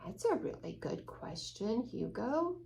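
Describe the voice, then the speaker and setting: A woman's voice: on the high side, big pitch swings, a little slow, vocal fry, noisy background. A woman hosting children's story time, reading a picture book with dramatic emphasis and questions for young listeners.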